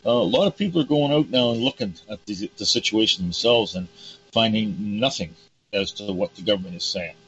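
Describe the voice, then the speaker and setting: A man hosting a casual talk show, hoarse because he is losing his voice, ranting emphatically and indignantly. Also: on the low side, a little fast, fairly bright tone, soft background music. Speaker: host. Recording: dull lo-fi audio with faint hum.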